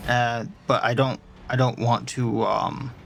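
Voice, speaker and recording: A man's voice: mid-range voice, level tone, a little slow, creaky, a little hiss or hum. Stuffed-up man talking through gameplay. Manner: chatty, explains stats at length. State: stuffed up, sore throat. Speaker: let's play host. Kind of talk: casual gameplay commentary.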